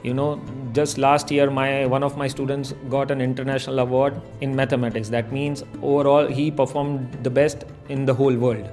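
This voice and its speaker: A man's voice: medium pitch, flat delivery, normal speed, few pauses, a little mellow, faint music behind. A man who teaches, speaking in an explanatory interview testimonial.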